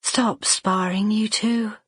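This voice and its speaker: A woman voicing a calm, self-controlled twenty-year-old Victorian elder sister. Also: mid-range voice, takes it slowly, slightly rough, no echo, voice pushed up. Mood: placating.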